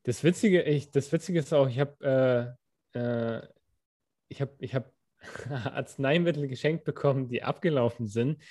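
A man's voice: medium pitch, measured pace, dark tone. A young man co-hosting a podcast, talking in a loose, rambling way with many fillers and restarts. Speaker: podcast co-host. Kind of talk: casual chat.

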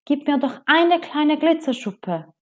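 Woman doing a high little blue fish. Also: lively intonation, slow. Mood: pleading. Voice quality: high, pleading. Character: small shy eager blue fish.

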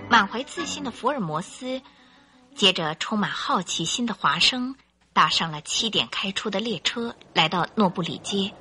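A woman narrator reading a scripted radio drama in an expressive, dramatic storytelling manner. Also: mid-range voice.

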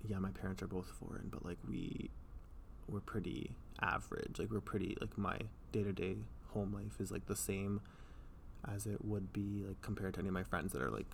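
A man's voice: sits low, steady tone, brisk, creaky, slight background noise. A young man hosting a podcast, chatting loosely and jokingly, with a lot of "like" and other fillers. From Canada. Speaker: podcast host. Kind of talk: casual podcast chat.

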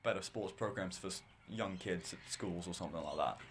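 A teenage boy with a low bass voice, chatting casually as podcast co-host, rambling and talking in bursts. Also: a little fast, breathy edge. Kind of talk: casual chat.

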